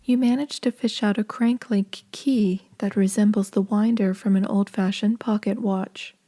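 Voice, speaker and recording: A woman narrating a horror adventure game in a calm, scripted second-person style. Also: medium pitch, moderate pace, no echo, close to an everyday voice. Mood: calm, measured. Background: faint music.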